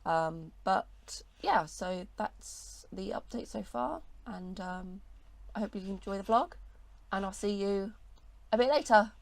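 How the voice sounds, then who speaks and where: A woman's voice: medium pitch, takes it slowly, creaky. A woman with a cold, sounding nasal, rambling casually through a vlog update about her small art business with lots of fillers. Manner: rambling, many fillers and hedges. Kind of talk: casual vlog chat to camera. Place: home studio.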